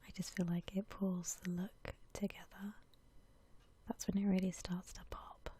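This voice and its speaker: A woman whispering softly and breathily in a gentle, unhurried ASMR voice-over, chatting casually. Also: lowish voice, little pitch movement, husky. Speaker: ASMR video creator.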